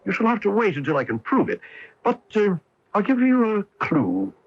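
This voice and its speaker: A man playing a shrewd, courteous English detective in a radio mystery drama, polite, deliberate and probing. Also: sits high, huge pitch swings, slightly rough, exaggerated voice. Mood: assured, teasing. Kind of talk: old-time radio mystery drama acting. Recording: dull lo-fi AM radio broadcast.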